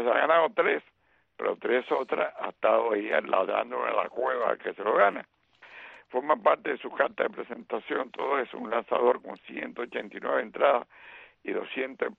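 A middle-aged or older man doing animated baseball commentary on radio. He often fills with "eh" and "¿ok?". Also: mid-range voice, gravelly, slightly rough, dry recording. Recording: tinny phone-line radio sound.

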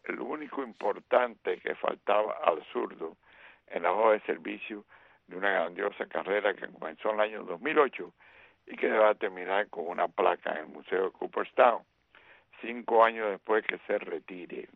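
A middle-aged or older man doing animated baseball commentary on radio. He often fills with "eh" and "¿ok?". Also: lowish voice, vocal fry, breathy edge, no room sound. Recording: tinny phone-line radio sound.

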